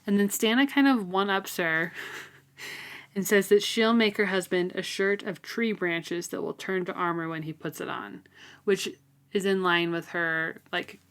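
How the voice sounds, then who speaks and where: A woman with a slightly low voice talks in a loose, conversational way as a podcast co-host. She discusses a book informally, dropping "like" often as she goes. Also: unhurried, fairly bright tone. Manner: informal, frequent "like" as filler. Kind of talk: casual book discussion chat.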